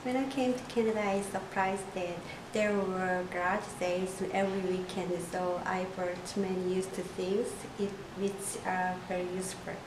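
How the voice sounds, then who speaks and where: Woman with a lowish voice reading lines, boomy noisy room. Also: steady tone, normal speed, hardly any pauses. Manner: recites short prepared statements. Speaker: international student. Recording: echoey room from far off, noisy.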